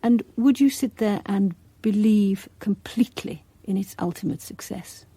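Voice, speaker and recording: Gentle, slightly husky British radio host. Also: female voice, mid-range voice, measured pace, no echo. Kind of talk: radio interview.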